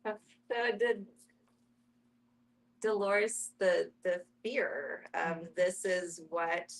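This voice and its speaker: A woman's voice: on the low side, drawn-out words, poor audio. A woman hosting a casual group discussion, speaking informally and searching for her words. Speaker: host.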